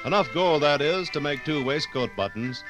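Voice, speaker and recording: A man reading scripted 1950s radio narration like a storyteller, with dramatic pauses. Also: fairly high pitch, lively intonation, over music.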